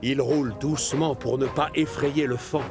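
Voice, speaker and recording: A man narrating a French children's cartoon like a storybook, expressive and dramatic in his storytelling. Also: mid-range voice, moderate pace. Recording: music behind.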